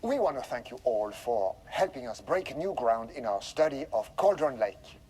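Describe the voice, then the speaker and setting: An actor playing a falsely cheery, smug research director presenting a stilted corporate welcome video in a game. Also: male voice, mid-range voice, very animated, normal speed, a little mellow, breathy edge. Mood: forced cheer. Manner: chirpy, stilted presenter delivery.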